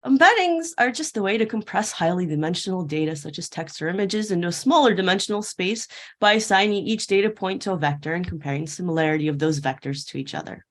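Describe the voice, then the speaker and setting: A woman's voice: on the low side, animated, fast. A woman delivering a prepared keynote talk in a playful storytelling way, posing questions and answering them. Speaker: keynote speaker at a tech conference. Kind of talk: prepared conference talk told as a fairytale parable.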